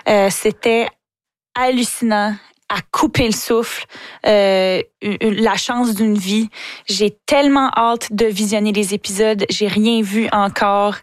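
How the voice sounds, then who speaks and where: A young woman with a Québécois accent, a singer and TV host answering interview questions enthusiastically, talking fast and restarting words often. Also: mid-range voice, fairly even intonation, dry recording. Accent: Québécois French. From Quebec. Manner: enthusiastic, chatty, many restarts and stammers.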